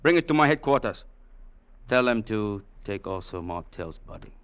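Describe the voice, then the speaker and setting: An actor playing a proud, ruthless Chinese warlord general in an old radio drama, speaking in terse, formal, commanding phrases. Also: male voice, lowish voice, animated, moderate pace, background noise, natural voice. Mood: curt, commanding. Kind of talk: 1940s-50s radio drama acting.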